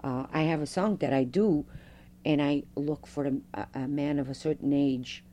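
Fado singer lady, low strong voice, chatty storyteller, faint hum behind. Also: moderate pace. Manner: animated storyteller, strong loud voice. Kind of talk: oral history interview.

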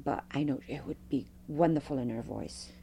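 A middle-aged woman, a Fado singer, telling stories with a strong, loud voice in an interview. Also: very deep voice, normal speed, creaky, slight background noise. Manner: animated storyteller, strong loud voice. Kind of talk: oral history interview.

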